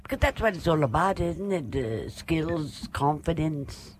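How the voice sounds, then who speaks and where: A woman's voice: low-pitched, expressive, measured pace, a little mellow, slight background noise. A woman hosting a casual radio interview, chatty and a little jokey with her guests. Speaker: radio host.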